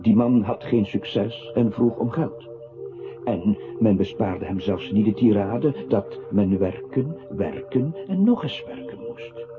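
A man's voice: mid-range voice, natural voice. A man acting a mocking, accusing inner voice in a literary radio play, with a sardonic, theatrical manner. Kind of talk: literary radio play narration, addressing the protagonist. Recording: tinny band-limited radio drama with music.

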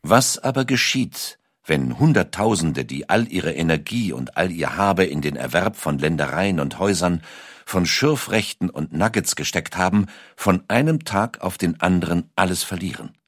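A man narrating a scripted historical documentary in a measured, deliberate storytelling manner with dramatic pauses. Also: low-pitched, dry recording, studio quality.

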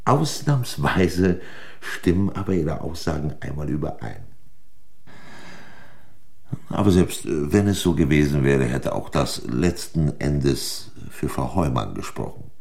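An actor playing a shrewd, sardonic examining magistrate in a crime radio play, questioning in a pointed, condescending way. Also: male voice, very deep voice, very animated, vocal fry, darkish tone, full character voice. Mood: sardonic, final. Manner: pointed, condescending, deliberate interrogation.

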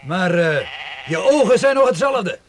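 An actor playing an excitable, warm-hearted older village man who exclaims and repeats himself in a Dutch radio play. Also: sits high, unhurried. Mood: amazed, moved. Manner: exclaiming, repeats words in amazement. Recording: old radio recording, faint noise.

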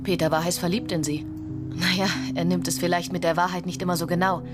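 A woman's voice: on the low side, little pitch movement, fast, natural voice. An actress playing an emotional female newspaper colleague in a radio crime drama. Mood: excited, dismissive. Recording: music behind the voice.

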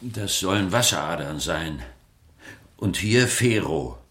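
A man's voice: low voice, lively intonation, slow, fairly bright tone, exaggerated voice. A man playing an eerily enthralled art historian in radio play dialogue, talking with conversational urgency. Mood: fascinated, insistent.

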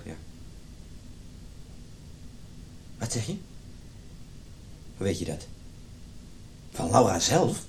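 A jealous, wounded husband in middle age for a radio play. He is domineering and agitated, and his pitch moves widely. The recording carries clear low noise and rumble. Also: exaggerated voice. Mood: stunned, guarded. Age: middle-aged. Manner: agitated, stammering when upset. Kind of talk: radio drama acting.

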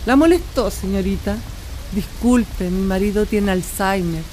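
A woman voicing an older wife caring for her husband, gentle and coaxing, in a community radio drama. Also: medium pitch, a little slow, slight background noise, close to an everyday voice, poor audio. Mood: tender.

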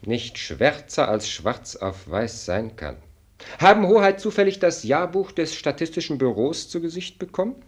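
An actor playing a dry, blunt minister of state in a German radio play, speaking formally and pointedly with an ironic, lecturing air. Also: male voice, on the high side, very animated, normal speed, slightly rough. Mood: grave, pointed.

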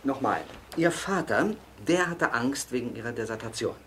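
A man's voice: medium pitch, lively intonation, moderate pace, fairly bright tone, a little hiss or hum, natural voice. An actor playing a commanding, businesslike male film director who gives instructions in naturalistic radio drama dialogue. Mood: probing. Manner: directing, hesitant asides, giving instructions.

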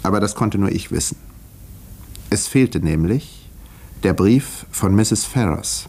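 Low-voiced, wry village doctor. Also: male voice, expressive, measured pace, a little mellow, breathy edge, full character voice. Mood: wry, measured. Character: male village doctor recounting a murder case, wry, measured. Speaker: narrator of a radio play. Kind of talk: scripted first-person narration, 1950s radio acting.

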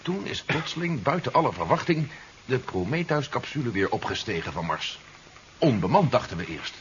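Earnest, formal male investigator played by an actor for vintage radio sci-fi; animated intonation, slightly noisy tape. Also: medium pitch, moderate pace, lightly acted. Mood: earnest, urgent. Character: earnest male investigator warning of an alien invasion. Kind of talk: 1970s Dutch radio drama acting. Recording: dull, noisy 1970s radio recording.

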